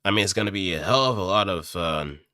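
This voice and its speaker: A man's voice: sits low, animated, fast talker, sharp tone, dry recording. A man chatting casually to viewers on a close microphone, thinking aloud in a hesitant, rambling way. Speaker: aspiring amateur voice actor. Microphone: close studio microphone, dry.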